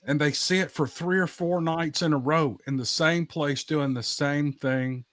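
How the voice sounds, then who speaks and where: A man's voice: a little high, no room sound. A man telling anecdotes in casual podcast chat, folksy and given to repeating his phrases for emphasis.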